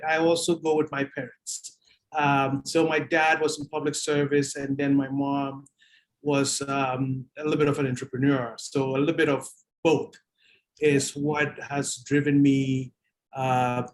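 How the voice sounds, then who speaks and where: A man chatting casually and reflectively as a guest, halting and pausing mid-phrase. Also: medium pitch, low-quality recording. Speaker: guest author.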